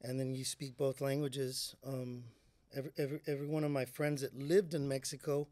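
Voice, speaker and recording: A middle-aged man from the South Texas border, a musician guest speaking softly and haltingly with many fillers in a casual interview. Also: mid-range voice, level tone, dry recording.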